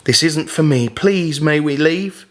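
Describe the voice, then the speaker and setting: A man's voice: on the high side, measured pace, close to an everyday voice. A man voicing a discontented young boy who complains in a sulky, dramatic way in a children's storybook. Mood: pleading, distressed.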